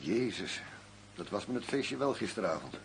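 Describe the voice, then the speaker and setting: An actor in a radio drama playing a wry, world-weary undercover agent who poses as a gangster boss. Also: male voice, fairly low pitch, very animated, normal speed, a little hiss or hum.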